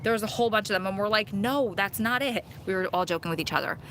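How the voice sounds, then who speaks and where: A woman in her thirties with a thick New York–New Jersey accent, chatting through a live stream. Her voice is bright and forward. She laughs easily and pokes fun at herself between comments. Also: mid-range voice, fast talker, slight background noise. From Long Island, New York. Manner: chatty, laughs often, self-mocking. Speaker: vlogger hosting a live Q&A. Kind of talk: casual live-stream chat reading comments.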